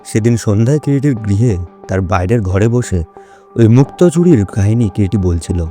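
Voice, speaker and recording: Low-voiced male story narrator. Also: lively intonation, moderate pace, dark tone, faint music behind.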